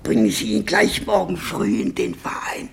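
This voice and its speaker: An actor playing a fearful, resigned old English lord with a thin, quavering voice in a 1950s German radio play. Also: male voice, high voice, fairly even intonation, normal speed, vocal fry, fairly bright tone, full character voice. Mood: resigned, grave. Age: older. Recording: old radio recording, faint hiss.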